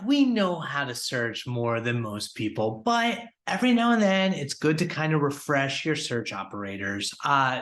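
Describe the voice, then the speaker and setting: A man's voice: medium pitch, a bit bright. A man hosting a weekly industry podcast, recapping news in an upbeat, conversational way with fillers and restarts. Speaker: podcast host.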